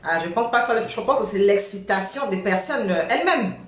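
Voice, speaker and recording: Woman interviewer, short prompts, mid-pitched, super echoey muffled audio. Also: animated, fast. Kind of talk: casual interview talk. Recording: echoey, dull lo-fi sound.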